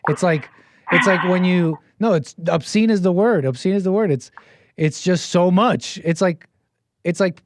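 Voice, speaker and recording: A man's voice: high voice, normal speed, dry recording. An American man hosting a call-in show, rambling casually with lots of restarts and laughs.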